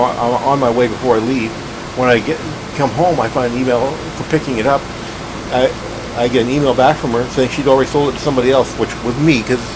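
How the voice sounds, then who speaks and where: A man with a medium-pitched voice talks through a hands-on gadget overview like a hobbyist. His delivery is casual and plain-spoken, wandering and halting as he goes. A noticeable steady hiss and hum sits behind his voice. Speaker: radio collector and video host.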